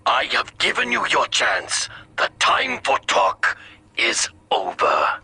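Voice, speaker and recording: A proud alien warrior commander from a video game. The voice is very sharp and forceful, slow and formal, with dramatic rises and falls. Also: male voice, mid-range voice, huge pitch swings, gravelly, slightly rough, exaggerated voice. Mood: stern. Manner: measured, formal, disdainful. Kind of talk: video game character acting.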